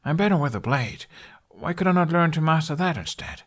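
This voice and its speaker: A man voicing a sarcastic, doubtful young male student in an audiobook, protesting and dryly deadpan. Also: a little high, a little fast, pitched above the performer's own voice.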